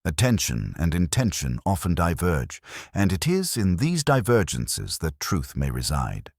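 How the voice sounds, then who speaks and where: A man voicing a calm, unassuming police inspector in a mystery audiobook, speaking politely, formally and deliberately. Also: deep voice, dry recording, studio quality, full character voice. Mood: calm, courteous. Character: courteous, unassuming male police inspector, calmly observant.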